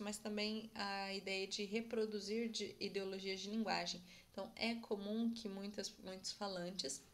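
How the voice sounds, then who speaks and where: Young woman giving a measured academic talk. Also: medium pitch. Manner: measured, explanatory, often asks "né?" to check in. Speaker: conference presenter. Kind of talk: academic conference presentation.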